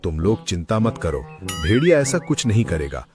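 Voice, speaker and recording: Regal but trusting lion ruler for a children's cartoon male actor, very expressive intonation, authoritative and caring. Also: on the low side, normal speed, over music. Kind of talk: Hindi animated moral story acting.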